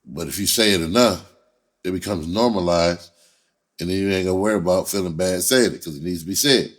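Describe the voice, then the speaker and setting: A man's voice: sits low, brisk. A man talking casually and candidly in a blunt, unfiltered, profane manner. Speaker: entertainer, rapper and writer.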